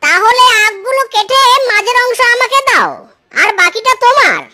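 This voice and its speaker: A woman voicing a sly, gloating young male trickster fox in a children's cartoon, childlike and squeaky, wheedling and boastful. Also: lively intonation, normal speed, noisy background.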